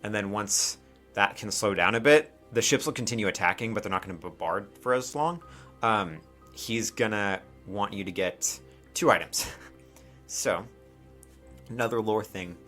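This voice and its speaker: A man casually improvising narration as a game master, informal and halting, with frequent asides. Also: fairly low pitch, pushed and bright, soft background music.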